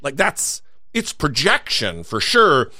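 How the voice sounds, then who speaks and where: A man with a lively, up-and-down voice rants with sarcastic exasperation. His tone is bright and forward. He swears freely into a close, dry studio mic. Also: mid-range voice, takes it slowly, no echo. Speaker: podcast co-host. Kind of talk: casual podcast chat.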